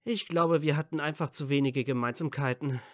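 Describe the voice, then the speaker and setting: An actor playing an earnest, playful young wizard hero in a fan fiction audio drama, sincere and bantering. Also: male voice, on the high side, brisk, dry recording, studio quality, lightly acted. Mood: earnest, thoughtful.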